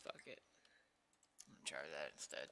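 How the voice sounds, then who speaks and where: A man's voice: rumbling low, lively intonation, normal speed, vocal fry, very noisy. A man thinking aloud casually in short fragments as he works through programming on a development stream. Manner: muttering to himself in short fragments. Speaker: game developer streaming coding work.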